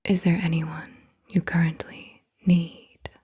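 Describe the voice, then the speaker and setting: A woman reading questions in a soft, breathy ASMR whisper, gentle and unhurried. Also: fairly low pitch, level tone. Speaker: ASMR host asking questions. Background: computer keyboard typing.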